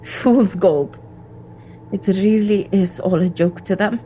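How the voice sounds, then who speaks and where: A woman's voice: mid-range voice, slow pace, warm tone, faint music behind, natural voice. A woman playing an embittered, defiant agent in an audio drama, with a world-weary, intense, sardonic delivery. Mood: sardonic, tense. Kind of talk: scripted audio drama monologue and dialogue.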